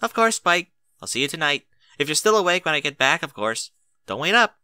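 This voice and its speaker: Bookish young unicorn mare played by a man, precise and earnest, lifted pitch, dry close sound. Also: sing-song, brisk, pushed and bright, smooth, no echo, heavily acted. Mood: friendly.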